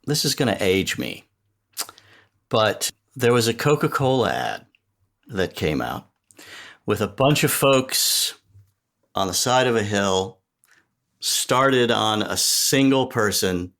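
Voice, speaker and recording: A man's voice: on the low side, very animated, takes it slowly, pauses often, a bit bright. Reflective, articulate middle-aged man in conversation. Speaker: podcast guest, sonic strategy expert. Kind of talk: thoughtful conversational interview answers.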